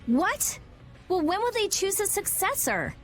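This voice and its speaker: High lively teen genius girl, blunt n excitable, video game style. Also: measured pace, soft background music, exaggerated voice. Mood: eager, blunt. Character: brainy, blunt, excitable teenage girl inventor.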